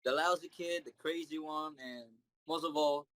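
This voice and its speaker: A young man, answering interview questions in a shy, halting way with many fillers. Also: fairly high pitch, fairly even intonation, fairly bright tone, no echo. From Philippines. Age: 20s. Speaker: interview guest, singer.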